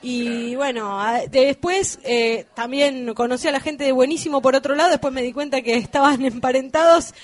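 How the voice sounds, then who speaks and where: A woman's voice: high-pitched, normal speed, hardly any pauses. A woman with an Argentine accent co-hosting a radio show, joking and laughing in loose chat. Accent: Argentine Spanish. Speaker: radio co-host. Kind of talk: casual radio banter.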